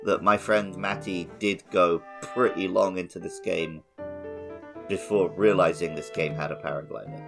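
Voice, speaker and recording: Low-voiced man chatting over music. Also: fairly even intonation, normal speed, creaky. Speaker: podcast co-host. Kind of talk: casual chat, gaming podcast. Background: background music.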